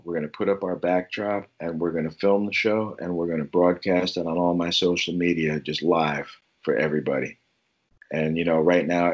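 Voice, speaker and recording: A man, a musician talking casually in an interview, rambling with many restarts and lots of swearing. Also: low voice. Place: at home during lockdown.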